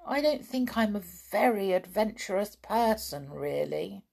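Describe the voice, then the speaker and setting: A timid little schoolgirl pleads in a worried, plaintive voice, as a woman reads her part. She sits well above the narrator's pitch in a children's storybook. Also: lively intonation, measured pace, vocal fry, a little mellow. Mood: anxious.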